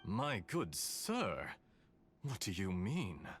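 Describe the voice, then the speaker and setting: A smooth-talking, calculating engineer from a video game. His low voice is formal and theatrical, swinging in lively inflection, with faint music underneath. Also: extremely slow, full character voice. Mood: courteous, flattering.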